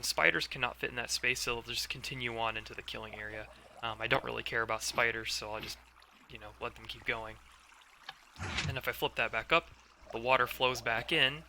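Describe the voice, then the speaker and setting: A man with a hoarse voice from being under the weather, hosting a casual gameplay commentary and explaining things step by step with many fillers. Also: medium pitch, noisy background. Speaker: let's play host. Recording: game music playing behind voice.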